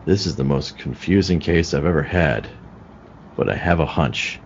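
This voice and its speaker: Man playing grave police detective, low voice, radio-play delivery, deliberate, dull lo-fi sound. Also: a little hiss or hum. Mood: baffled, brooding. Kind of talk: old-time radio play acting. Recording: dull, lo-fi call audio.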